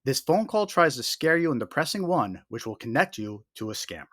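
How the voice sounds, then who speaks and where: A man reading a scripted explainer as host, with emphatic, deliberate delivery and short warning statements. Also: mid-range voice, very animated, fairly bright tone, dry recording. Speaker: host of a scam awareness video.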